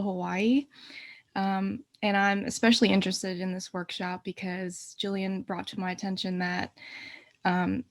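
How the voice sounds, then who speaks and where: Woman, assistant curator, informal introduction, flat intonation, medium pitch, dry bedroom sound. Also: no echo. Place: bedroom, on a video call.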